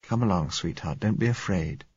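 A man doing a tender, soft-spoken young man. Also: sits low, expressive, moderate pace, husky, no echo, highs cut off. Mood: soothing. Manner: soft, soothing. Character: tender young man, gentle and quietly resolved. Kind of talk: audiobook reading.

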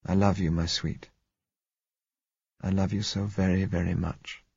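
A man doing a tender, soft-spoken young man. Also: low voice, level tone, measured pace, creaky, slightly rough, no room sound, lo-fi sound, exaggerated voice. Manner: soft, soothing. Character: tender young man, gentle and quietly resolved. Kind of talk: audiobook reading.

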